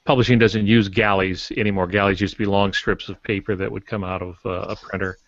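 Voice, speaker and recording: A man's voice: on the low side, steady tone, fast talker, no echo. A man, an author, explaining his work casually as a podcast guest. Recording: dull lo-fi online call audio.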